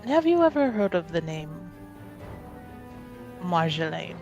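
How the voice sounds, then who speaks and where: A petulant young noblewoman, played by a woman in a tabletop fantasy game, defensive and flippant. Her pitch moves up and down freely at an easy pace, with quiet music behind. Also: very animated, unhurried, highs cut off. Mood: cautious, probing.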